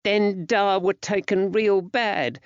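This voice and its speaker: A frightened young working-class lad, played by a male narrator, speaks in a high, plaintive voice with rustic old-fashioned dialect. He sounds grief-stricken and earnest, in an audiobook story on a dry, slightly dull recording. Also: slow, smooth, no echo. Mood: upset, fearful.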